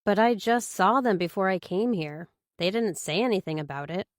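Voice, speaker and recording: A woman's voice: mid-range voice, animated, normal speed, no echo, studio quality, lightly acted. A woman voicing a wary, reluctant teenage girl in an audiobook, hesitant and questioning. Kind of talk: audiobook character dialogue.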